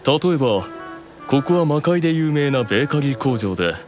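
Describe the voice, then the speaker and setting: An actor playing a proud, keenly observant demon eldest brother in an anime audio drama, explaining things with deliberate authority. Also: male voice, medium pitch, lively intonation, music in the background, lightly acted. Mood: composed explaining.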